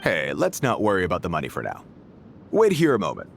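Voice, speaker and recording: A man playing a brawny, courteous but guarded desert mercenary in a video game, with expressive delivery. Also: low voice, a little fast, gravelly, a little hiss or hum, full character voice. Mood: friendly, helpful. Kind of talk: scripted video game voice acting.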